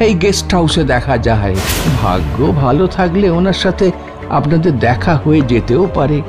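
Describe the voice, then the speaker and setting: A cowardly, shifty caretaker in a cartoon. His voice is high for a man and swoops wildly as he hesitates and stammers. Loud music sits behind him. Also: very animated, normal speed. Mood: ominous. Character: shifty, nervous male guest house caretaker, ominous and cowardly. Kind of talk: animated cartoon voice acting.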